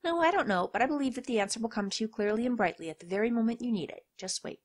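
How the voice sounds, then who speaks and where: A woman voicing a calm, mysterious young woman in a video game, speaking softly and reassuringly. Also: fairly high pitch, racing.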